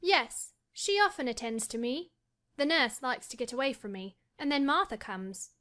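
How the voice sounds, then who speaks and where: A woman's voice: high voice, lively intonation, moderate pace, pitched above the performer's own voice. A woman doing a frail, drowsy invalid boy. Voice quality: soft and drowsy.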